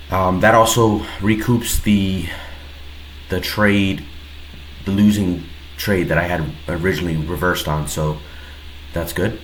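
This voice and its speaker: A man with a low, deep voice and a stuffy head cold talks through a trade in a relaxed, halting way, often pausing and starting over. His voice sounds slightly nasal. The recording has a roomy echo with a faint hiss of background noise. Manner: relaxed, hesitant, many pauses and restarts. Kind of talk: casual screen-recorded trade breakdown.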